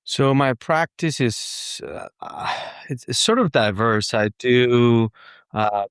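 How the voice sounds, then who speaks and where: A man from Greece and Cyprus, answering interview questions casually and haltingly as a guest surgeon. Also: mid-range voice, clear, resonant tone, no echo, studio quality.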